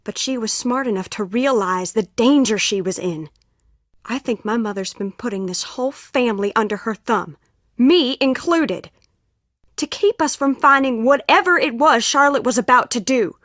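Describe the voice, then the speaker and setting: A woman's voice: a little high, expressive, normal speed, a bit bright, dry recording. Earnest, troubled young woman, dry recording. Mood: grave confiding. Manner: urgent, pleading. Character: earnest, troubled young woman confiding dark family secrets. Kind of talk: scripted game voice acting.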